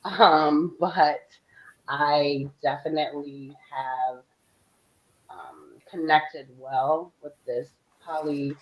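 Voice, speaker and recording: A woman's voice: low voice, fairly even intonation, drawn-out words, dull audio. A woman in her forties from Philadelphia, a guest talking openly and chattily about herself on a panel. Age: 40s. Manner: open, candid, chatty with soft laughs. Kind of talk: casual panel chat.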